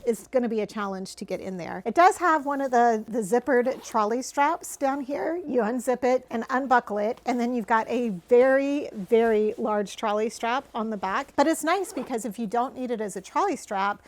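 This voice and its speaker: Slightly hoarse middle-aged woman reviewer. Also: on the high side, moderate pace, few pauses. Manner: chatty, repeats words for emphasis. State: losing her voice, slightly hoarse. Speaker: travel gear reviewer. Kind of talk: casual product review to camera.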